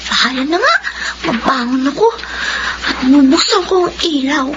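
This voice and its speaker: A woman's voice: high-pitched, lively intonation, moderate pace. A woman voicing a timid young daughter who scares easily, talking politely and nervously. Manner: polite, jittery, childlike. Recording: dull lo-fi audio with hiss.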